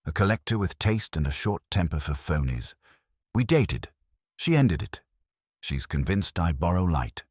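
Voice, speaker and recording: A man's voice: low voice, sing-song, measured pace, slightly rough, dry recording, studio quality, full character voice. A man voicing a vain, theatrical young schemer in a mystery audiobook, with clipped, arch, sardonic phrasing. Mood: smug, glib. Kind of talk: audiobook detective story dialogue.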